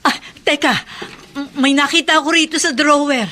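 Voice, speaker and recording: A woman's voice: high-pitched, steady tone, normal speed, bright, forceful tone. An actress playing a young woman who stammers as she searches a drawer for clues in a radio drama. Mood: eager. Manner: stammering, eager.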